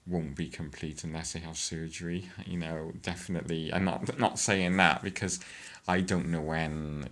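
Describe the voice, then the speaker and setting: A woman, chatting hesitantly in a casual vlog update, with many fillers and frequent throat-clearing. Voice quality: deep voice. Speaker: vlogger.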